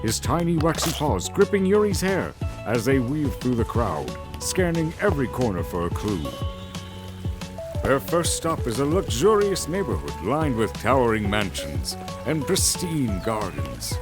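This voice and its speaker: A man's voice: mid-range voice, sing-song, a little slow. A man narrating a scripted story as a dramatic narrator. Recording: music behind the voice. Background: clear background music.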